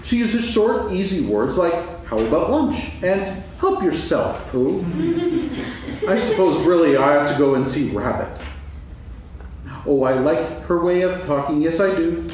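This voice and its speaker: A man playing a gentle, absent-minded, food-loving toy bear in a staged children's story reading, musing aloud in a singsong way. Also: fairly high pitch, animated, measured pace. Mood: dreamy musing. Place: stage, actors seated, live audience recording. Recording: echoey hall from far off, faint noise.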